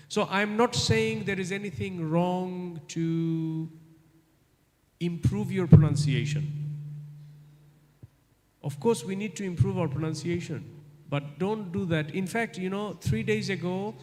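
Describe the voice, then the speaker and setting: A man's voice: fairly high pitch, a little mellow. A middle-aged man from Bangladesh with a Bangladeshi English accent, giving a live guest lecture and answering a student's question, repeating his key points for emphasis. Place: large university hall. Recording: long hall reverb.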